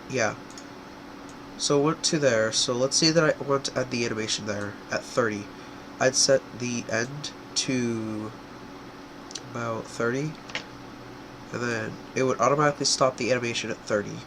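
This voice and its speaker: A young man with a stuffy, congested voice, talking casually through a screen-recorded software tutorial, full of fillers and quick self-corrections. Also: mid-range voice, background noise. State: congested from a cold. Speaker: tutorial creator.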